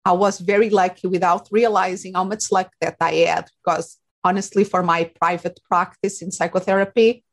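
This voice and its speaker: A woman's voice: lowish voice, flat delivery, normal speed. A woman from Portugal speaking English with a Portuguese accent, a life coach answering interview questions in a reflective way, with many fillers. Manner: reflective, with frequent fillers and restarts. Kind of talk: casual interview talk.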